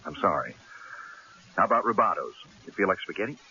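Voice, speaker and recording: An old radio drama recording with muffled sound. a flippant, self-assured newspaperman. His voice is deep yet crisp-edged and bright, with a wry, teasing manner. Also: expressive, fairly quick, slight background noise, exaggerated voice.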